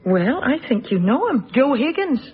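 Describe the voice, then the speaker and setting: An actress playing a kind, cheerful young schoolteacher in a radio drama, with expressive delivery. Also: female voice, sits high, moderate pace, phone-quality sound, a little hiss or hum.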